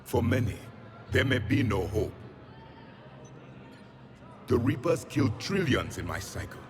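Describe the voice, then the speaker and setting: An actor playing a proud, grim ancient alien warrior from a fallen empire in a video game, speaking with solemn, haughty deliberation. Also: male voice, mid-range voice, big pitch swings, unhurried, noisy background. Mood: stirring, grave. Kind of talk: cinematic video game acting. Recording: long hall reverb, music behind.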